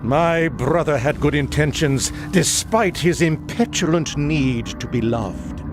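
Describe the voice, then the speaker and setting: An actor playing a haughty, scheming royal heir in a video game, imperious and formal. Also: male voice, fairly high pitch, lively intonation, measured pace, music in the background. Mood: smug. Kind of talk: video game character acting.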